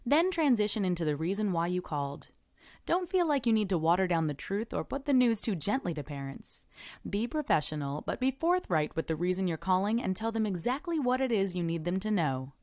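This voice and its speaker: A woman presenting scripted instructional narration, giving encouraging, clearly structured advice as a podcast presenter. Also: fairly low pitch, dry recording, studio quality.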